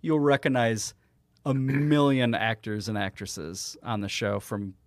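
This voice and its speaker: Man, casual podcast co-host, relaxed rambling talk, mid pitch, dry close sound. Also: no room sound. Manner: easygoing, drawn-out, swears. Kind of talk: casual chat.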